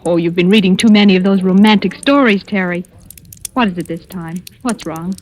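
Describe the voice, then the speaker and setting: An actress playing an affectionate, teasing rich young debutante with an inquisitive, coaxing way in a 1940s radio drama. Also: female voice, fairly low pitch, brisk, darkish tone, lightly acted. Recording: noisy old radio recording.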